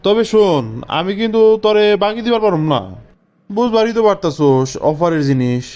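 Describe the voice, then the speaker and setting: A man playing a slick, easygoing village salesman in a cartoon. His voice is high for a man and smooth, full of chatty patter in rural Bangladeshi dialect. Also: animated, brisk, darkish tone. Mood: sales pitch. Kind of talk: comic Bengali cartoon dialogue.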